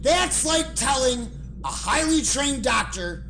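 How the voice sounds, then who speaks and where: A high, animated voice delivers a scripted review. The pace is slow and deliberate, with emphatic words and dramatic pauses. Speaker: guest film reviewer.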